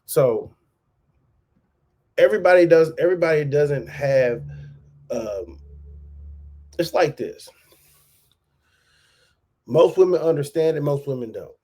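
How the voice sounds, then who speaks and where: A man's voice: fairly high pitch, lively intonation, slow pace, pauses often, dark tone. A man hosting a reaction channel, talking loosely in slang and repeating himself as he comments on a clip. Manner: loose, slangy and repetitive, restating points.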